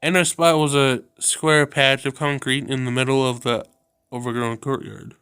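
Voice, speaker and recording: A man reading a visual novel aloud, telling the story in a subdued, steady way. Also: medium pitch, moderate pace, smooth, dry recording. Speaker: video game narrator.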